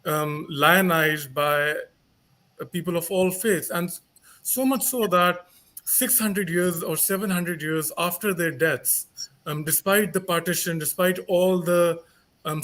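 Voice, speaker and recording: Guy moderating a panel, lots of ums, kinda halting, voice a bit high. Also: a bit bright. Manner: hesitant, many filler pauses. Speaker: discussion moderator. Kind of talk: conversational panel discussion.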